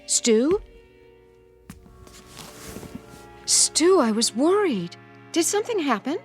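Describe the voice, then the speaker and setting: Careful suburban mother, middle-aged, animated higher voice, radio drama with light music behind. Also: unhurried. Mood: worried. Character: anxious, soothing suburban wife and mother. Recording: music underneath the voice.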